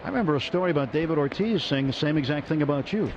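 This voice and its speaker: A man calling baseball play-by-play on the radio, brisk and lifting on big plays. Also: on the high side, lively intonation, a little mellow. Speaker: play-by-play announcer. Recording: muffled radio broadcast with noise.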